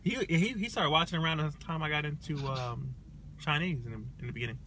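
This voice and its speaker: A man's voice: a little high, fast, a bit bright, a little hiss or hum. A man chatting casually as a livestream guest, telling anecdotes and giving opinions in an informal, rephrasing way.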